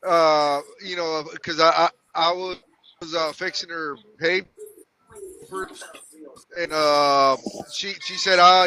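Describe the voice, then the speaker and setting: Bright-voiced, halting male caller. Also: sits high, low-quality recording. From United States. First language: Spanish. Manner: halting, many "uh"s and restarts. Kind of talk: casual call-in chat.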